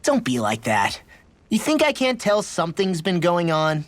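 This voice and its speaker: A man's voice: high voice, wildly swooping pitch, normal speed, dry recording. An actor playing a brash but earnest teenage boy fighter who talks informally in a video game cutscene. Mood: prodding.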